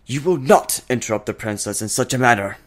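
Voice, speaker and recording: A man's voice: mid-range voice, animated, brisk, pitched above the performer's own voice, lightly acted. A man voicing a stuffy, protective royal pony advisor in a fantasy story, formal and easily flustered. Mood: indignant.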